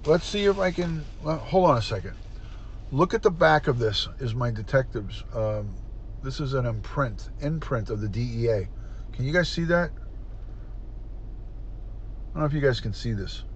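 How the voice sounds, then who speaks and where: A man's voice: mid-range voice, animated, moderate pace, long pauses. Middle-aged guy on a livestream, congested and sniffly, chatting with viewers, plain mid voice, bit of noise behind. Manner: chatty, greets and answers chat viewers by name. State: congested, stuffed-up nose, sniffling. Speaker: retired police detective, livestream host. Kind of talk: casual livestream chat with viewers.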